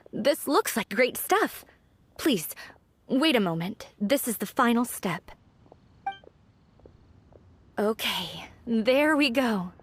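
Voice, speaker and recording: Gentle lantern artisan. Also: female voice, fairly high pitch, slight background noise. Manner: calm, sincere. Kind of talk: game voice acting.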